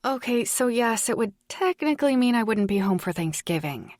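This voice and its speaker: A woman's voice: medium pitch, moderate pace, no echo, clean studio sound, lightly acted. A woman voicing a dramatic, gushing young adult daughter in an audiobook, with an emphatic, youthful delivery. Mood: sheepish, hurried. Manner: whiny, enthusiastic, emphatic. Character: dramatic, gushing college-age daughter on the phone.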